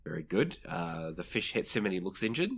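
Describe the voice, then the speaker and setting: Low-voiced game master narrating the action. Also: big pitch swings, a little fast, breathy edge. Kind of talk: narrating a tabletop role-playing game.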